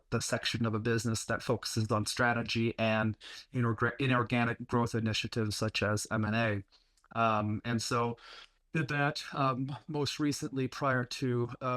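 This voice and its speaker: A man's voice: mid-range voice, fairly bright tone. A man from France speaking informally as a professional guest in a business interview. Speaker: interview guest, corporate development executive.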